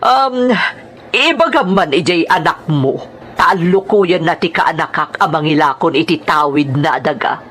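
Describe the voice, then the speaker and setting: A woman's voice: low voice, animated, measured pace, a bit bright. Actress as pompous older female villain, scornful, bossy, wide swooping intonation, radio drama, faint noise. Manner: pompous, imperious.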